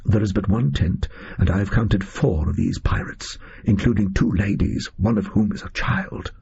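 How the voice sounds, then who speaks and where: A British man voicing an alert, analytical Victorian detective in an audiobook, speaking in hushed, clipped deductions. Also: fairly low pitch, lively intonation, moderate pace, gravelly, a little hiss or hum. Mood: hushed urgency. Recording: dull, lo-fi audio.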